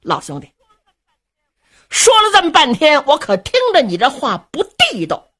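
A woman voicing a hot-tempered man who scolds loudly and high in traditional storytelling. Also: wildly swooping pitch, normal speed, husky, exaggerated voice. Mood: angry, scolding. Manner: loud, scolding, high-pitched shouting. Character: hot-tempered male sworn brother, blustering.

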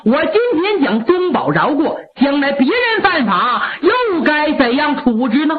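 A woman's voice: high-pitched, wildly swooping pitch, slow, full character voice. A woman storyteller voicing a stern, unbending male army marshal who declaims firmly, sometimes tearfully. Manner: firm, declaiming, at times tearful. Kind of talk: traditional storytelling performance. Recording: lo-fi narrow-band recording.

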